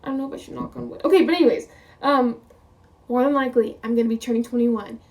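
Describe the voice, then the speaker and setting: A woman's voice: fairly high pitch, steady tone, quick pace, darkish tone, far from the mic. A woman of twenty talking casually to camera as a vlogger, rambling, quoting her own thoughts and laughing often. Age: 20s.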